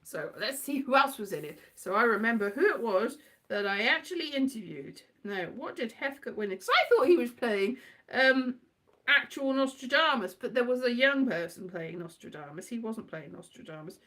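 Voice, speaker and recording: Scatty, high-pitched woman rambling brightly. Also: very animated, moderate pace. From Wales. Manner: scatty, digressive, thinking aloud, muttering asides to herself. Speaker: writer and performer, host. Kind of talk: rambling casual monologue.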